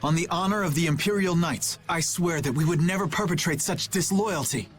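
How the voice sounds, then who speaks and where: An actor playing a principled young knight captain in a video game, formal, solemn and sincere. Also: male voice, fairly high pitch, a little fast, faint music behind, lightly acted. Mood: firm, official.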